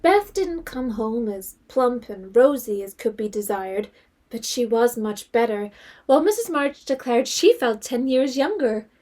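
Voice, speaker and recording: A woman acting as a storybook narrator with a fairly high voice. She reads literary prose in a measured, composed way, as scripted narration for an audio drama. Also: lively intonation, a little mellow. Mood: composed storytelling.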